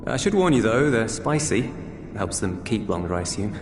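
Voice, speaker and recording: A man's voice: fairly low pitch, very animated, moderate pace. An actor playing a reserved, kindly soldierly man in a video game cutscene, speaking in a hesitant, earnest, conversational way. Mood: friendly. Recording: roomy echo with music underneath.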